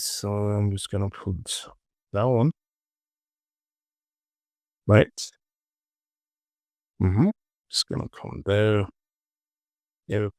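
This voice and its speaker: A man with a gravelly voice casually narrating a software tutorial, muttering short, repeated phrases to himself as he works. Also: fairly low pitch, dry recording, studio quality. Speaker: tutorial host.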